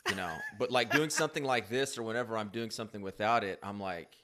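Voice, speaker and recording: A man's voice: lowish voice, fast, sharp tone. A man hosting a podcast, rambling easily with many restarts. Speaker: podcast host. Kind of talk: casual chat.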